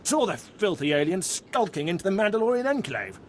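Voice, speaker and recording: An actor playing an outraged, self-righteous citizen of an evil empire in a video game, indignant and declamatory, with some noise behind. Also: male voice, high-pitched, sing-song, fairly quick. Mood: indignant accusation. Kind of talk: dramatic video game acting.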